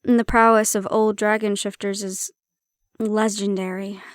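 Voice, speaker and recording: A woman playing a prickly but secretly caring handler in an intimate fantasy audio roleplay, speaking in a wry, measured, matter-of-fact way. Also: mid-range voice, flat delivery, no room sound, clean studio sound, lightly acted. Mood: musing, matter-of-fact.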